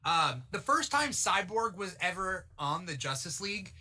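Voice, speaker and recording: A man's voice: high-pitched, big pitch swings, normal speed, bright, forceful tone. A man hosting a casual, chatty panel discussion about comic book films. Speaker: show host.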